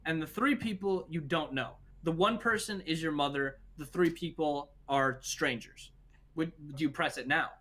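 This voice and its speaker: High, bright-voiced man arguing his point. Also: normal speed. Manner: argumentative, insistent. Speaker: discussion show panelist. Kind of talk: casual heated debate.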